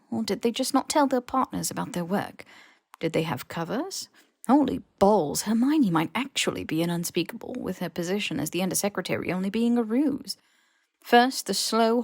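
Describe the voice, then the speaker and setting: Creaky-voiced woman narrating a story. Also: medium pitch, darkish tone, no room sound, studio quality. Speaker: audiobook narrator.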